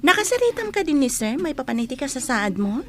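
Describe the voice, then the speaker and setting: A woman's voice: high voice, big pitch swings, brisk. A woman with an Ilocano accent playing a kind, frank young student in a radio drama, a cheerful and loyal friend. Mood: cheering, kind.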